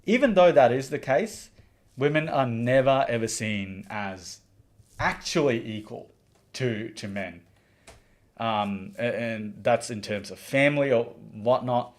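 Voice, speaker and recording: A man's voice: mid-range voice, unhurried. A man from the Maldives hosting a livestream, giving earnest opinionated commentary and reading out viewer comments, with frequent restarts. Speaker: livestream host.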